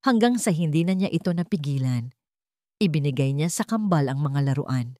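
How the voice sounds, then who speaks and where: A woman reading a scripted dramatic story as narrator, with measured, deliberate phrasing. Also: sits low, lively intonation, dark tone, no room sound, clean studio sound.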